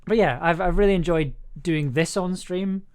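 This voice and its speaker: A streamer chatting casually while working, thinking aloud in a hesitant way and trailing off, with a medium-pitched voice. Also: moderate pace, a little mellow, clear, resonant tone. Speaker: streamer, audio drama producer and composer. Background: music playing, keyboard typing.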